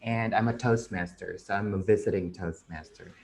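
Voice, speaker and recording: A man's voice: sits low, steady tone, moderate pace, gravelly, darkish tone. A man from the Philippines, briefly and politely greeting an online club meeting as a visitor. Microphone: video call.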